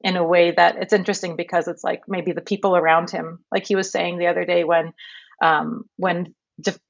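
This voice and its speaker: A woman's voice: lowish voice, flat delivery, brisk, gravelly, no room sound, highs cut off. A woman from Canada answering interview questions in a thoughtful, conversational way, often restarting her sentences. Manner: thoughtful, many fillers and restarts. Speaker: podcast narrator and guest.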